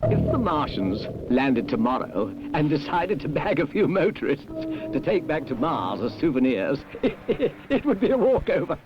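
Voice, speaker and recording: Higher-pitched, lively British male narrator. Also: measured pace. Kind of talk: 1970s British public information film narration. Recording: muffled, noisy old film soundtrack.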